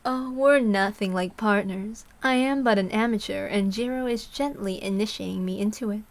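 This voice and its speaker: A woman's voice: mid-range voice, moderate pace, close to an everyday voice. A woman voicing a sly, refined female clinic worker who teases in a genteel, cryptic way. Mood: teasing, refined.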